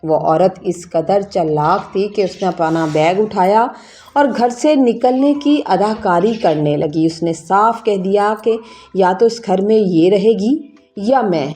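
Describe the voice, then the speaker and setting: A woman's voice: mid-range voice, mellow. A woman reading a scripted, emotional first-person story as its narrator. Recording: music bed behind narration. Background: background music.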